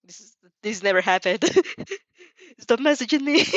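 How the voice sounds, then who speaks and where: A woman's voice: a little high, big pitch swings, takes it slowly, fairly bright tone, no echo, lo-fi sound. A woman host chatting casually while reacting to fan fiction, in a giggly, apologetic, scattered way that keeps interrupting itself.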